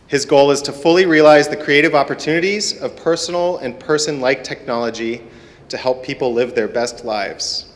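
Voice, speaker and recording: A man's voice: mid-range voice, normal speed. A man introducing panel speakers at a conference, reading from prepared biographies. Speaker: panel moderator. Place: conference hall at a university symposium. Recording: echoey hall with long reverb.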